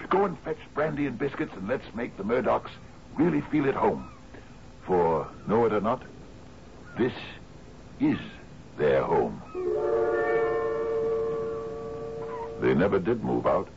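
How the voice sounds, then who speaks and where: A man's voice: low voice, a little mellow, full character voice. An actor playing a genial but domineering sea captain in a radio drama, hearty and lordly, repeating his phrases. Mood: wistful, brooding. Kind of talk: old-time radio drama acting. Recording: muffled, noisy old radio broadcast.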